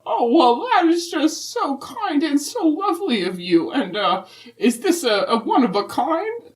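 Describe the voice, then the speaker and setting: A woman's voice: high voice, very animated, a little slow, voice pushed up. A woman playing a kindly, prim, folksy Mrs. Claus in a holiday interview, with genteel, dithering, old-fashioned phrasing. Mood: sweet, gracious.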